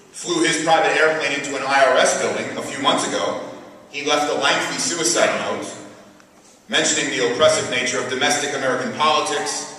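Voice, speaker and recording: Guy reading a speech slow and deliberate at a mosque podium, sounds far off in a big echoey hall. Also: medium pitch, sharp tone. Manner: measured, deliberate reading of a prepared text. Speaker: speaker at a community event. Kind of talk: read speech. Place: mosque prayer hall, indoors, medium-sized. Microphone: podium mic filmed by a far camera.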